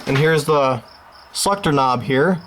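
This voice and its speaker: A man with a slightly high voice presents like a hobby collector showing off a find. He talks informally and rambles with folksy enthusiasm. The home recording has some faint noise behind him, with cricket-like chirping. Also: measured pace, fairly bright tone, low-quality recording. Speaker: vintage radio collector presenting his own channel. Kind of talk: casual unscripted show-and-tell vlog.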